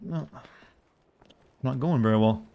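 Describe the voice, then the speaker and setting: A man's voice: mid-range voice, slow pace, vocal fry, dark tone, highs cut off. A man from the southern United States with an American accent, giving casual, rambling live gameplay commentary with jokey, self-deprecating asides to viewers. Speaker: game streamer.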